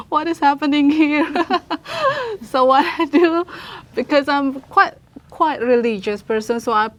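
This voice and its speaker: A young woman from Indonesia, an international student answering press questions in halting English with many fillers and restarts. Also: a little high. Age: young adult. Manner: hesitant, many fillers, searches for words.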